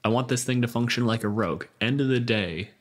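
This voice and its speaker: A man's voice: medium pitch, a little fast. A man hosting a casual tabletop gaming podcast, talking in an explanatory, storytelling way. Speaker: podcast host.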